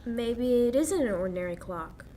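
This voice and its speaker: A woman's voice: fairly high pitch, animated, moderate pace, dark tone. A young woman acting a detective's feisty, devoted sidekick in 1940s radio style, earnest and coy. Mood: matter-of-fact. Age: young adult.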